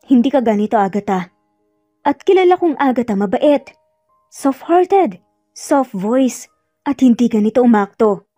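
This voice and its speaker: A woman's voice: a little high, expressive, measured pace, long pauses, dark tone, no echo, studio quality, soft background music. A woman voicing a frustrated man, an earnest and insistent former lover pleading in an audio love story.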